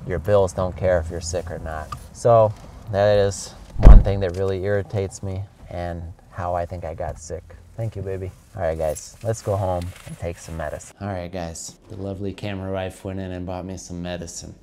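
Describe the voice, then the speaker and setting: A man's voice: low-pitched, steady tone, normal speed, mellow, a little hiss or hum. A middle-aged man with a cold and a congested voice, chatting casually to English learners and often calling them guys. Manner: chatty, keeps addressing viewers as guys. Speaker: English teacher vlogger. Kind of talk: casual explanatory vlog to learners.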